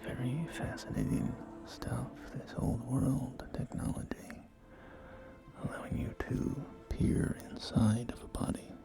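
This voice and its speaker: A man's voice: mid-range voice, steady tone, a little slow, creaky. A man whispering in an ASMR roleplay as a courteous, enthusiastic post-apocalyptic real estate agent, with genteel, hesitant sales patter. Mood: soothing, admiring. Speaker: roleplay performer. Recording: music behind close whispering. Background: background music.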